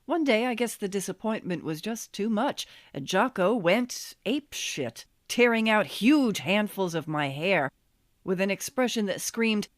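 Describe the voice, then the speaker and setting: An American woman reading her memoir as an audiobook, telling it in a wry, expressive storytelling manner. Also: mid-range voice, a little slow, dry recording, studio quality. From Los Angeles, USA. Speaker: author reading own memoir.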